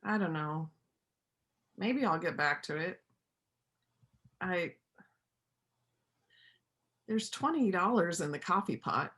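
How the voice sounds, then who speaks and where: A woman's voice: lowish voice, lively intonation, slow pace, pauses often, a bit bright. A woman acting a lonely, plainspoken veterinarian and painter in a church reader's theater play. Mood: earnest, subdued.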